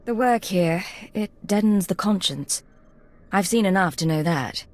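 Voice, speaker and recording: An actress playing a composed secret agent in a video game, speaking in a controlled, understated, quietly confident way. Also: female voice, mid-range voice, animated, a little slow, natural voice. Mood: reflective, steady.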